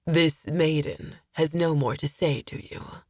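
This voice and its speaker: A woman's voice: sits low, steady tone, unhurried, dry recording, studio quality. Woman voicing a guarded, cool-headed male traveller. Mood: calm, firm.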